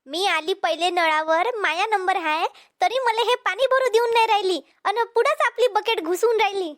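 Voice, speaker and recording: A woman voicing a quarrelsome cartoon village mother-in-law with a Vidarbha Marathi accent, scolding and threatening in a pitched-up voice. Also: normal speed, fairly bright tone. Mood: complaining. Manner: scolding, repetitive challenges. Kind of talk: cartoon comedy dialogue.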